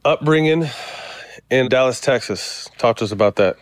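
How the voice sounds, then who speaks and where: A man's voice: mid-range voice, normal speed, faint music behind. A man hosting a casual, chatty interview, asking questions and chiming in. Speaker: interview host. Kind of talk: casual chat.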